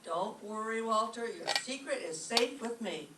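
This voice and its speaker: Woman as sardonic schoolteacher, highish lively pitch, wisecracking, roomy distant stage, radio sitcom. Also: measured pace. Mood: amused, wry. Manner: dry wisecracking delivery. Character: wry, sardonic female high school teacher. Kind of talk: old-time radio comedy acting. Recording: echoey stage, distant mic, faint noise.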